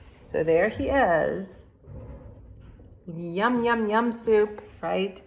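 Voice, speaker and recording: A woman's voice: mid-range voice, drawn-out words, vocal fry. A woman reading a fairy tale aloud expressively, as a teacher would to children. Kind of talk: expressive storybook read-aloud.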